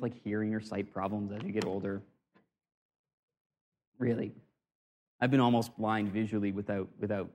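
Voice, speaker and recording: A man giving an informal talk on communication, conversational and self-deprecating, often restarting his phrases. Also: a little high. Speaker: speaker on communication. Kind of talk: informal talk or sermon-like lecture.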